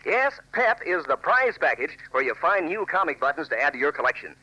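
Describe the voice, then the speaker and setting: Male 1940s commercial announcer: swooping, forceful sales pitch to youngsters, folksy, lo-fi old radio sound. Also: sing-song, a little fast. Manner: enthusiastic, folksy, emphatic.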